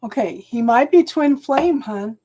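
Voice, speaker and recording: A woman doing a live tarot reading at home, talking slowly and soothingly, often checking in with "okay?", and clearing her throat as she loses her voice. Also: high-pitched, expressive, background noise. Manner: slow, soothing, keeps adding "okay?". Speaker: tarot reader.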